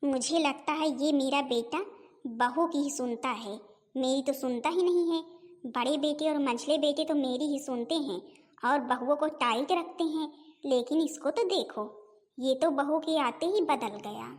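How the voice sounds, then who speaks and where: A woman's voice: sits high, a little slow. A woman voicing a stern, domineering middle-aged mother-in-law in a family comedy cartoon, scolding and taunting. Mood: complaining. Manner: scolding, taunting, repeats phrases mockingly.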